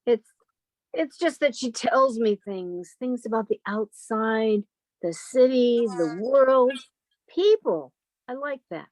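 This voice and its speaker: A woman's voice: fairly high pitch, expressive, takes it slowly, darkish tone, no room sound, low-quality recording. A woman playing a sheltered, timid, wistful woman of thirty-five in an old-time radio drama, plaintive and questioning. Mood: apologetic.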